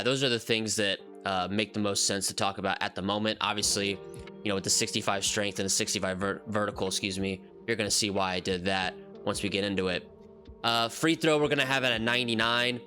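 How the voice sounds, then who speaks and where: A man's voice: on the low side, pushed and bright. A man hosting a gaming channel, casually walking through a build. He sounds nasal from a cold and rambles with frequent ums and restarts. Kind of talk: casual game commentary walkthrough.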